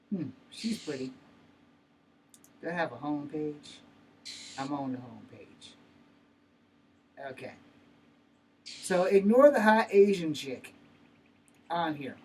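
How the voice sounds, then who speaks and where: An American man in his fifties talks off the cuff in a slangy, foul-mouthed ramble. His voice sits a little high for a man. He sounds set back from the mic, with faint noise around him. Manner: profane, blunt, slangy. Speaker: host of his own vlog. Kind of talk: rambling casual chat to viewers. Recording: noisy, distant-sounding mic with room ambience.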